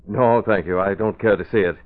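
On a tinny vintage radio broadcast, a young newspaper boss played by a man talks in a fairly low voice. He stays composed and crisp, lightly dismissive of trouble. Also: fairly quick, close to an everyday voice. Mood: brisk, unworried. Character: composed, authoritative young male newspaper publisher. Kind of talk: old-time radio drama acting. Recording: tinny band-limited old radio broadcast.

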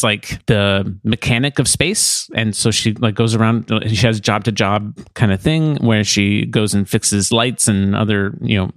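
A man hosts a podcast in a relaxed, conversational style. His pitch is mid-range and he often pauses and gropes for words while explaining. The recording is dry, with hardly any room sound. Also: no echo. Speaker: podcast host. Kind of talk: casual podcast chat.

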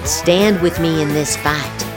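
A woman's voice: deep voice, takes it slowly. A woman hosting a show, reading political social media posts aloud with theatrical, emphatic delivery over music. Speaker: podcast host. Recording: music behind the voice.

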